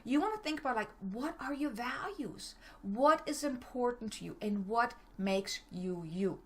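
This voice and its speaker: A middle-aged woman from Germany with a German accent, giving a motivational talk as a business coach. She talks fast, direct, and stumbles over her words. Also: on the high side, lively intonation.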